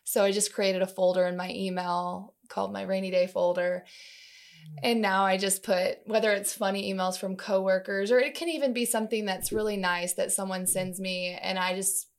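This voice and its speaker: A woman speaks as an interview guest in a casual, chatty way. Her voice sits at an ordinary pitch with plenty of creaky fry at the edges. She tells workplace anecdotes informally, like someone talking with colleagues. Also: steady tone, moderate pace. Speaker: interview guest, long-time company employee.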